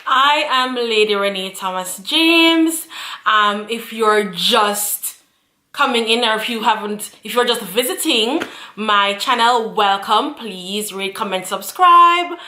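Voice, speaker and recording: Bright-voiced woman chatting. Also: on the high side, lively intonation, slow pace, roomy sound. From Jamaica. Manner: chatty, repeats phrases, frequent laughs and fillers. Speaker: YouTube channel host. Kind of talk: casual chat to camera.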